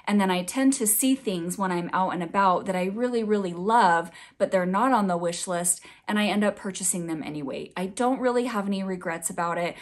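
A woman in her thirties, a fashion vlogger, giving a chatty, upbeat budget update while her voice is still a little raspy from being sick. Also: medium pitch, a little fast, hardly any pauses. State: slightly raspy, scratchy voice from recent illness. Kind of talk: casual budget update vlog.

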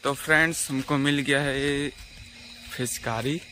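A man's voice: mid-range voice, fairly even intonation, unhurried, background noise. A young man, chatting casually to his viewers while doing chores outdoors in a village, filming himself on a phone at arm's length. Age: young adult. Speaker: village vlogger. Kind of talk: casual vlog talk to viewers. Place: outdoors in village fields and lanes. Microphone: phone selfie at arm's length.

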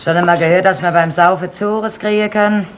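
An actress playing a defiant, foul-mouthed masseuse in a 1970s crime radio play, brash and slangy, snapping at people. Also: female voice, lowish voice, fairly even intonation, a little fast, close to an everyday voice. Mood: scornful. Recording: old radio recording, slight noise.

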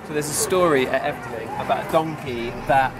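A man's voice: medium pitch, brisk. A man in his thirties explaining things casually to camera as a vlog presenter. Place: outdoors in a busy theme park. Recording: outdoor vlog, crowd noise, loud music.

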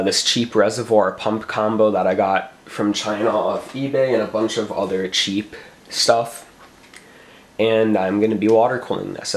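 Young guy getting over a cold, kinda low voice, rambles and corrects himself a lot, talking to the camera at his desk. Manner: rambling, filler-heavy, self-correcting. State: recovering from a cold. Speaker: tech vlogger. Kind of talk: casual show-and-tell. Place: bedroom desk, indoors.

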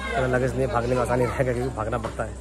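A man's voice: mid-range voice, flat delivery, rapid-fire, over a noisy crowd. A young man from Assam, India, chatting casually to camera as a travel vlogger on a phone held at arm's length. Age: young adult. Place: outdoors in village, station platform and moving train. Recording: noisy outdoor and train phone recording. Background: street, vehicle and train noise.

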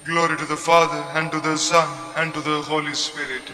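A man leads prayer responses with hardly any rise or fall in his voice. His tone is bright and somewhat higher than most men's. The long reverb of a large church follows each phrase. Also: brisk. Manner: flat, even recitation. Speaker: prayer leader. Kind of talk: liturgical responses.

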